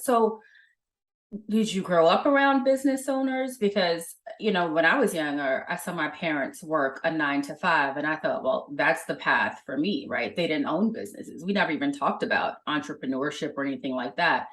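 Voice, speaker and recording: A woman's voice: low-pitched, expressive, moderate pace, fairly bright tone. A woman hosting a podcast interview, enthusiastic and chatty, with many fillers. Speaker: podcast host.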